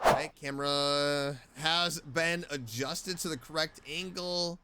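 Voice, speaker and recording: A woman's voice: low-pitched, steady tone, slow, over loud music. A woman streaming a video game, giving casual, chatty and playful reactions as she plays. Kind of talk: casual reactive gameplay commentary.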